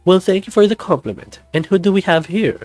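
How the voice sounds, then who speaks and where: A man's voice: high-pitched, animated, brisk, darkish tone, no echo, faint music behind, pitched above the performer's own voice. A man voicing a flirtatious, theatrical middle-aged male snow leopard who runs a resort, calling everyone darling in a visual novel.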